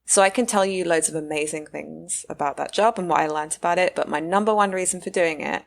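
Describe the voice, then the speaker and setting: A woman's voice: on the low side, fairly quick, vocal fry. A British woman in her thirties, a researcher from the UK, talking through her career path as a podcast guest, with frequent fillers. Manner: thoughtful, many fillers and restarts. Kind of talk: casual interview talk.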